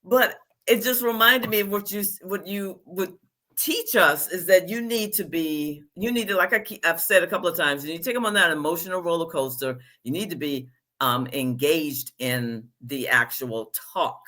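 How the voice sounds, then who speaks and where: A woman hosting a podcast with a bright, forward tone. She chats casually and tells self-deprecating stories, laughing at her own slips. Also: on the low side, normal speed. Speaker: podcast host. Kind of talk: podcast interview conversation.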